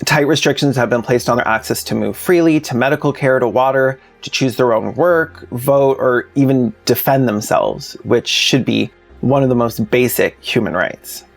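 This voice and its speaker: Man with a slightly creaky voice, plainspoken commentary. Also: mid-range voice, normal speed, hardly any pauses, soft background music. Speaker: video essayist, host. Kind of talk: scripted commentary video with casual asides.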